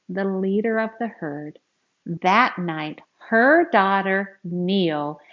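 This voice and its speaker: A woman with a slightly low voice reads a children's picture book aloud at an unhurried pace. She is expressive and teacherly, addressing her young listeners directly. The recording is a little dull and lo-fi. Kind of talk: read-aloud storytelling with asides to young listeners.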